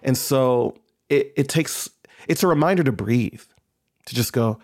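A man's voice: mid-range voice, measured pace, a little mellow. A man speaking as a podcast guest, casual and reflective, with a self-deprecating way of talking.

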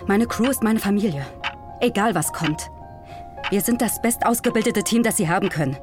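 A woman's voice: mid-range voice, moderate pace, music in the background, lightly acted. An actress playing a resolute, loyal woman pilot leading an astronaut crew in an audio drama, blunt and commanding. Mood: defiant, exasperated.

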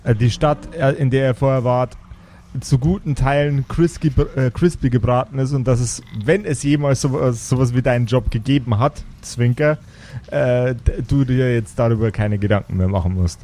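A man running an improvised tabletop game as game master, speaking haltingly as he searches for words. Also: mid-range voice, mellow.

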